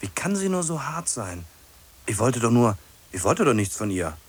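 A man's voice: medium pitch, sing-song, breathy edge. An actor playing a hesitant, lonely, obsessive man who stumbles and repeats himself in a radio play. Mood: brooding, muttering. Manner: halting, repeats himself, muttering to himself.

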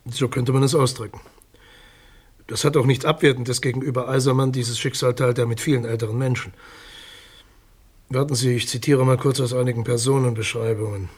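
A man's voice: medium pitch, fairly even intonation, a little fast, husky, lightly acted. Sober, guarded public prosecutor played by a man. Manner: formal, precise, matter-of-fact officialese. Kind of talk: naturalistic German radio crime drama.

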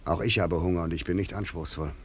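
A low male voice with clear noise around it, an actor as a shivering castaway in a radio play. He is ironic, eloquent and politely insistent, an uninvited guest with nothing to lose. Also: fairly even intonation, fast talker, natural voice. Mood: wry, insistent. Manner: wry, eloquent, politely pushy. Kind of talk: German radio play acting.

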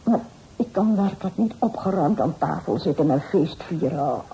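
A woman's voice: low-pitched, lively intonation, normal speed, dark tone, husky, exaggerated voice. An actress playing a worried, dignified middle-aged mother in a period radio drama, earnest and formal. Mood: troubled. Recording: faintly noisy old radio play recording.

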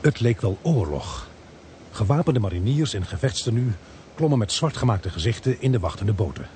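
An actor playing an earnest male narrator-protagonist, telling a suspense story in a measured radio drama style. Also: lowish voice, sing-song, mellow, a little hiss or hum. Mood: suspenseful narrating. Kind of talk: dramatic first-person radio play narration.

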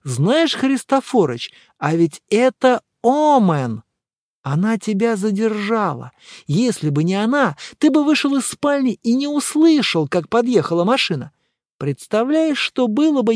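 Man putting on an excitable, high-pitched gossipy fellow. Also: unhurried, no echo, studio quality, exaggerated voice. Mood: excited. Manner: exclamatory, emphatic, swooping. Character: excitable, nosy, gossipy male friend, theatrically indignant.

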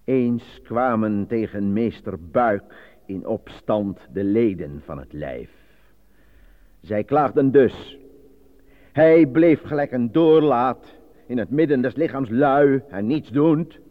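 Dull old radio play, a jittery theatrical actor, pitch all over the place. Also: male voice, lively intonation, slow pace, no room sound. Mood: grand declaiming. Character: anxious, apolitical stage actor pleading under interrogation. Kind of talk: Dutch radio drama acting. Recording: dull lo-fi radio drama recording.

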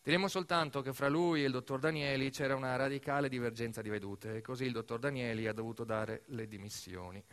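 A man performing a narrative theatre monologue as a storyteller, emphatic and dramatic, swinging between hushed and raised delivery. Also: mid-range voice. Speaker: stage actor narrating a monologue.

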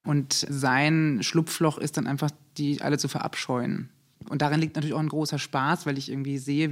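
A woman theatre director reflecting spontaneously in an interview. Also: low-pitched.

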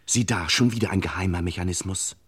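A man's voice: low voice, fast, very noisy, close to an everyday voice. A man playing a pompous, mock-solemn narrator of a radio crime parody, speaking in ornate, courteous phrases with dry irony. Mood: ominous. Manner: ornate, mock-formal, addresses listeners with exaggerated courtesy. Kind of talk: scripted radio crime serial narration, ironic.

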